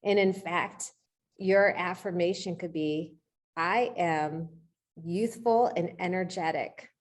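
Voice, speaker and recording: A woman's voice: fairly low pitch, takes it slowly. A middle-aged woman coaching in an informal, conversational way, encouraging and often checking in with short rhetorical questions. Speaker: real estate coach leading a coaching session.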